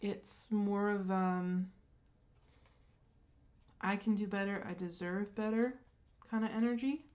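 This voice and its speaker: A woman with a congested throat gives a casual tarot reading, unhurried, clearing her throat and pausing on frequent ums. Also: mid-range voice, fairly even intonation, dull audio. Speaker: tarot reader.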